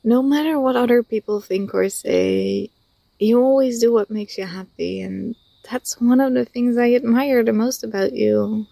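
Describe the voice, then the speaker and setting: A woman acts out a young female student, fond and gently teasing, in an intimate ASMR roleplay. Her voice is soft and fairly high, with plenty of fry at the edges. The sound is dry and close, and her delivery is tender and a little hesitant. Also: normal speed, no echo. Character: affectionate, teasing young female university student confessing feelings.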